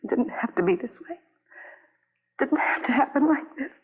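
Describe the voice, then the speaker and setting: An actress playing an anguished, lonely young wife in a 1950s radio drama, speaking in halting, repetitive fragments on a vintage broadcast recording. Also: female voice, high-pitched, phone-quality sound, close to an everyday voice. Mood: weary, confessing.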